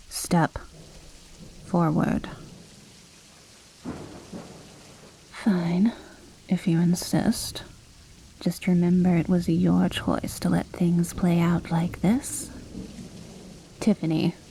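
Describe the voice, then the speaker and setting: A woman playing an imperious, menacing vampire noblewoman in an ASMR roleplay, speaking in a soft, breathy half-whisper with cool, formal condescension. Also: on the low side, a little hiss or hum, poor audio. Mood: stern, menacing, then silky, controlled. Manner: slow, measured, coolly condescending, formal. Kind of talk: scripted ASMR roleplay monologue. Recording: rain and thunder ambience behind. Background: rainstorm with thunder.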